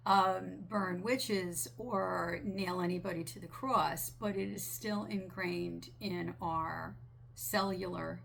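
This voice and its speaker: A woman channeler talking gently and earnestly, her voice raspy from a sinus infection. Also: medium pitch, a little hiss or hum. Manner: slow, gentle, earnest with small restarts. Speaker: spiritual channeler. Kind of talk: relaxed monologue relaying a channeled message.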